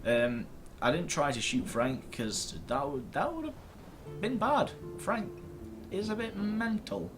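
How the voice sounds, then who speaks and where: A man's voice: on the high side, slow, over music. A man gives casual, rambling gaming commentary to camera, self-deprecating and mildly sweary, recorded at his computer. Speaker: host of a gaming video series. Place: indoors at a computer screen.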